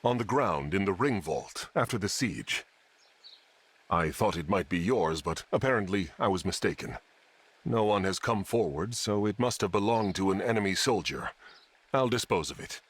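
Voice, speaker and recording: A man playing a dutiful older knight retainer in a video game, husky, with formal and courteous delivery. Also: deep voice, animated, moderate pace. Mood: courteous, calm. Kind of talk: scripted video game voice acting.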